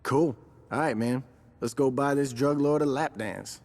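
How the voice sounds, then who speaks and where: An actor playing a cool, shrewd street gang lieutenant in a video game, talking in calculating, slangy phrases. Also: male voice, medium pitch, measured pace, a little mellow. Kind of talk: video game cutscene acting.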